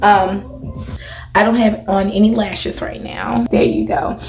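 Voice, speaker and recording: A woman in her thirties chats like a beauty vlogger in an echoey room, with music in the mix. Her pitch sits in the middle for a woman. She talks casually and familiarly to her audience, tossing in emphatic check-ins. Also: steady tone, slow, creaky, a little mellow. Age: 30s. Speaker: beauty vlogger and channel host. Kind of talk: casual, chatty vlog talk to camera.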